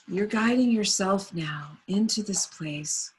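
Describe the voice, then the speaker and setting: A woman leading a guided meditation on a video call, speaking softly and soothingly. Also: mid-range voice, slightly rough, poor audio. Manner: soft, unhurried, soothing.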